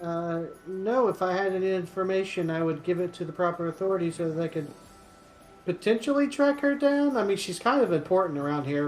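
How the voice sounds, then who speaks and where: A man's voice: fairly high pitch, reverberant, close to an everyday voice, poor audio. A man voicing a rambling, gossipy fantasy townsperson who hesitates, repeats himself and contradicts himself in a tabletop game.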